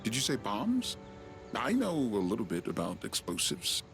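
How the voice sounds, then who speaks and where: An actor playing an excitable, keen alien tinkerer in a video game cutscene. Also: male voice, fairly low pitch, moderate pace, gravelly, music in the background, full character voice. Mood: eager.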